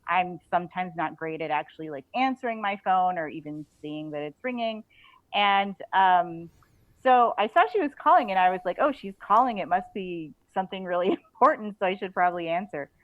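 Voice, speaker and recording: An American woman chatting casually as an interview guest, animated, effusive and often giggly. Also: lowish voice, a little fast, dry recording. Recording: slightly muffled, dry audio.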